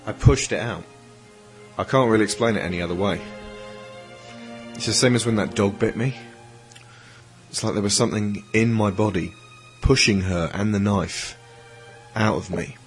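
A man's voice: on the low side, moderate pace, long pauses, natural voice. A man playing an earnest, troubled schoolboy in an audio drama. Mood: anxious, confiding. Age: teenage schoolboy figure. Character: earnest, troubled schoolboy pleading against violence. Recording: music under the voice.

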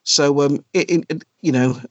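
A middle-aged man from South Wales, chatting as a podcast guest and pausing often with ums and restarts. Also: fairly high pitch, dry recording. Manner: hesitant, many ums and restarts. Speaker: podcast guest, comic writer. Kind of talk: casual interview chat. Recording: dry, dull lo-fi sound.

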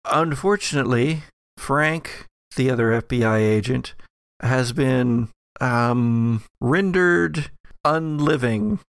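A man narrating a tabletop horror game as game master, setting scenes theatrically with deliberate pauses. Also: medium pitch, creaky, clear, resonant tone, no room sound, clean studio sound. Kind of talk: narrating an actual-play horror roleplaying game.

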